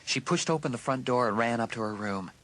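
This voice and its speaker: A man with a husky voice giving suspenseful, scripted narration of a dramatised audiobook. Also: medium pitch, brisk, fairly bright tone. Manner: suspenseful storytelling.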